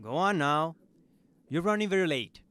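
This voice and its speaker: An actor playing a stern, scolding school teacher in an audio drama. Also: male voice, a little high, expressive, normal speed, background noise, lightly acted. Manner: scolding, authoritative. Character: stern male high school history teacher.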